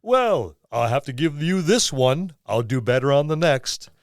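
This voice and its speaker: A man's voice: fairly high pitch, sing-song, unhurried, no room sound, studio quality, pitched above the performer's own voice. A man voicing an ancient scheming dragon who graciously concedes wagers to a friendly rival in a tabletop game. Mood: amused, conceding.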